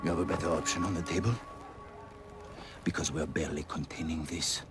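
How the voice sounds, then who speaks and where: An actor playing a weary, principled military doctor with a French accent in a game cutscene, earnest and insistent. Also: male voice, rumbling low, steady tone, a little fast. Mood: earnest, challenging. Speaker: army doctor. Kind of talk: cinematic video game dialogue. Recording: music under the voice.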